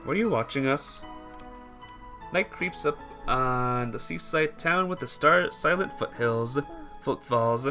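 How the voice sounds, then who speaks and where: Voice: medium pitch, lively intonation, slow pace, bright, forceful tone. A streamer reading a visual novel aloud, often stumbling over words and correcting the slip, with music behind. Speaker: streamer, game player.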